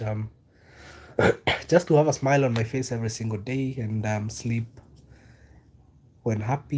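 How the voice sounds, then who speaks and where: A man's voice: fairly low pitch, dark tone, slight background noise. A young man from Kenya chatting casually on a live stream, reading out comments and asking viewers questions, with lots of ums and repeats. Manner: loose and unhurried, many fillers and repeated questions. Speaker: livestreamer reading chat.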